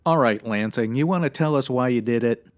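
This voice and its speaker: An American man playing a stern, by-the-book police sergeant in a 1950s radio detective parody, clipped and deadpan. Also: mid-range voice, a little fast, no room sound, lightly acted. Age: middle-aged.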